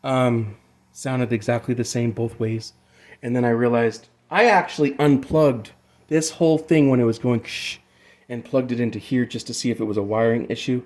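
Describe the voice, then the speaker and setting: A man's voice: medium pitch, steady tone, normal speed, a little mellow. A man giving a casual hands-on tutorial about music gear, rambling and loosely explaining as he goes, with some swearing. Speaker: amateur music gear demonstrator.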